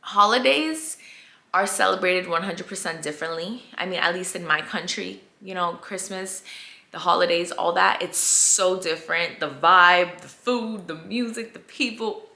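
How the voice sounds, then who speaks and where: A woman's voice: fairly low pitch, moderate pace, vocal fry, sharp tone, echoey room. A Colombian-born woman in her twenties, telling a candid storytime to camera, chatty, repeating words and dropping into whispered asides. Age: 20s. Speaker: vlogger. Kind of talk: casual storytime chat to camera.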